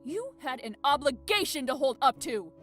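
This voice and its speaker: A woman's voice: high-pitched, very animated, normal speed, a bit bright, faint music behind. An actress playing a stern, middle-aged mother scolding her teenage son in a visual novel game, commanding and emphatic. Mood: angry scolding.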